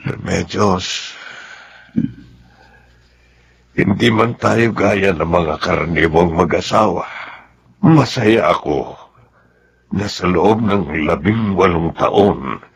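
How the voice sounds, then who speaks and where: Husky, low-voiced tender father. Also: full character voice. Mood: hesitant, earnest. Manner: earnest, sentimental, emphatic pauses. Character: tender, grateful middle-aged father and husband. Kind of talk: radio drama acting.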